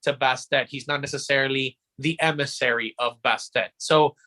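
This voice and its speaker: A man hosting a podcast, explaining things casually and haltingly, often repeating words as he goes. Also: medium pitch, fairly even intonation, sharp tone. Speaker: podcast host.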